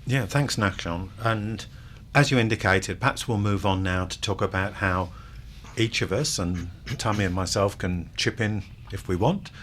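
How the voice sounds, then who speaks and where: A man moderating a panel, calmly guiding the talk to the next topic. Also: fairly low pitch, moderate pace, slight background noise.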